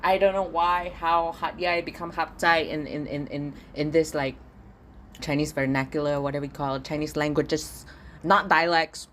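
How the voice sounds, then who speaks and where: A man's voice: fairly high pitch, steady tone, moderate pace, fairly bright tone, a little hiss or hum. A young man from Singapore, talking alone in a casual podcast diary at home, ranting and swearing with stammered repeats. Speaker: podcast host.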